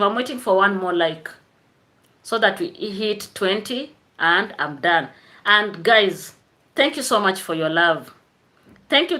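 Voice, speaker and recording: A woman's voice: mid-range voice, bright, forceful tone. A woman chatting casually on a live stream, greeting viewers by name and laughing often. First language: Swahili.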